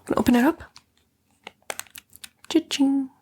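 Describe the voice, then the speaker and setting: A woman playing a coaxing, condescending female demon in a breathy, whispered ASMR roleplay. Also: sits high, a little slow, mellow, a little hiss or hum. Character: female demon, a fallen angel, coaxing and vain tempter.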